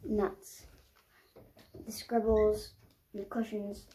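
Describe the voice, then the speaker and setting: A woman reading a children's picture book aloud expressively, pausing to explain the pictures. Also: medium pitch, little pitch movement, dark tone. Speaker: storybook reader. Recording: roomy, echoing sound.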